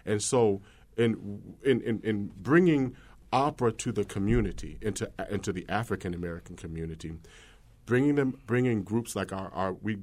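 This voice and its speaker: A man's voice: lowish voice, normal speed, a little mellow. A man, an opera singer, telling stories from his youth in an earnest, conversational way on a panel. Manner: earnest storytelling.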